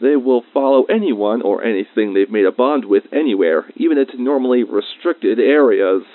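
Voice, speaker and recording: Man acting as male facility researcher, fond and semi-formal, measured audio diary, thin phone-like radio filter, dry sound. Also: mid-range voice, no room sound. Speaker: researcher recording a personal log.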